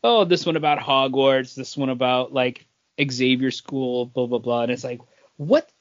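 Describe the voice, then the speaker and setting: A man hosting a casual podcast quiz in a halting way, repeating himself as he goes. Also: medium pitch, fairly even intonation. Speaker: podcast co-host and quizmaster. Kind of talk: casual chat, running a trivia quiz. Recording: dull, band-limited lo-fi audio.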